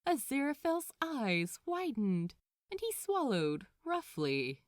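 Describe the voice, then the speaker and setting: A woman narrates fan fiction expressively, with pitch that moves in lively sweeps. She takes her time over the sentences, and the sound is close and dry. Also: slow pace, dry recording. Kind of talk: expressive scripted story narration.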